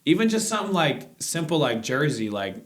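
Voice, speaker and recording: A man's voice: on the high side, big pitch swings, a little fast. A man from Queens with a New York accent chatting casually about sports in a slangy, rambling way. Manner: slangy, rambling, quotes imagined speakers. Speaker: sports show panelist.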